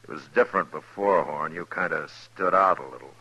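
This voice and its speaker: Deep-voiced, stern American frontier marshal. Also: male voice, pushed and bright, slightly rough, exaggerated voice, poor audio. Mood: cold, taunting. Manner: deliberate, authoritative, terse. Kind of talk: 1950s radio western acting and first-person narration. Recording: hissy old radio drama recording.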